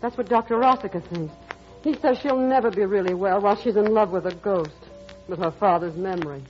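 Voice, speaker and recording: An actress playing a wistful, gentle stepmother with a secret, in a halting, earnest old-time radio drama style. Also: medium pitch, close to an everyday voice. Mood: gentle, reassuring. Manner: halting, hesitant, softly earnest. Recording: muffled old radio recording, music behind.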